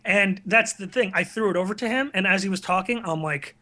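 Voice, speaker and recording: A man's voice: high voice, fairly quick, pushed and bright. A man talking casually as a podcast guest, telling candid, self-deprecating stories in a conversational way. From US tri-state area. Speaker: podcast guest, online video creator.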